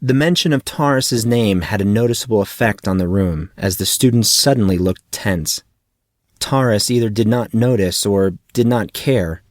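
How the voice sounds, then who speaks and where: A man with a fairly low voice narrates a fantasy audiobook. The recording is dry and close, with very little room in it, and he reads prepared prose as a storyteller. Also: moderate pace, dry recording. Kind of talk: scripted fiction narration.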